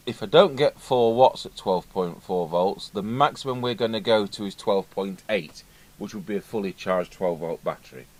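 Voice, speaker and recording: A middle-aged British man giving an informal, unscripted hands-on demonstration, musing aloud with hesitant pauses. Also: lowish voice, dry recording. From United Kingdom. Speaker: radio repair technician. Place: indoor radio repair workbench. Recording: clearly noisy home workshop recording.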